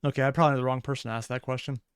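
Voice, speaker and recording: A man's voice: mid-range voice, fairly even intonation, rapid-fire, clean tone. A man hosting a reaction video, commenting casually in a rambling, analytical way.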